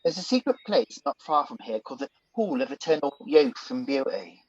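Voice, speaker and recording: An actor playing a scheming, smooth-talking villain who poses as a soothing conscience in a radio pantomime. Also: male voice, a little high, big pitch swings, brisk, no room sound, dull audio. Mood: persuasive, urging. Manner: smooth-talking, persuasive, insinuating.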